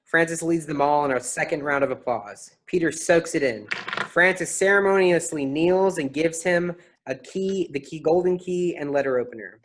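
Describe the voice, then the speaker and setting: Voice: medium pitch, normal speed, a little hiss or hum. A narrator reading out a play's stage directions as scripted narration.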